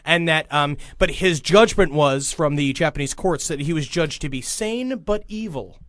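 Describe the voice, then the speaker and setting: A man's voice: on the high side, normal speed, fairly bright tone, dry recording, studio quality. A man hosting a podcast, telling a true-crime story in a rambling, profane, casual way. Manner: profane, rambling, acts out quotes in voices. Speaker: podcast host.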